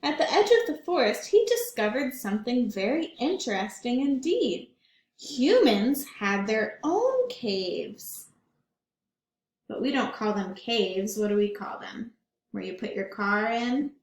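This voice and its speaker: A woman teacher reading a picture book aloud to children in a gentle, slow way, stopping to ask them questions. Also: a little high, very animated. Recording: roomy echo.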